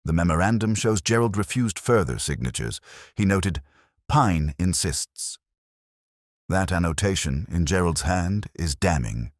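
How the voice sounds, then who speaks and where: A man voicing a commanding, shrewd detective in an audiobook, speaking in deliberate, authoritative statements. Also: sits low, lively intonation, no echo, studio quality. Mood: accusing, forceful.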